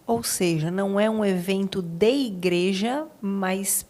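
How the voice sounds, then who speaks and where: Brazilian lady hosting a podcast, normal pitch, chatty interview style, recaps and agrees with the guest. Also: unhurried, a little mellow. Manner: summarizes and affirms the guest's points. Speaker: podcast host. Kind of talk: conversational interviewing.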